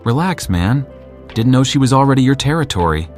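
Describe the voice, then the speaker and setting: A man playing a teasing high school buddy with a mocking, laid-back manner in a teen romance drama. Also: sing-song, fairly quick, a little mellow, soft background music, lightly acted.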